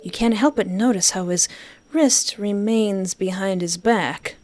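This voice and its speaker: A woman plays a story game and reads it aloud. Her voice sits at a middle pitch and she narrates expressively, dropping in casual reactions. Music is clearly audible behind her. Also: unhurried, darkish tone. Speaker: game player reading and reacting.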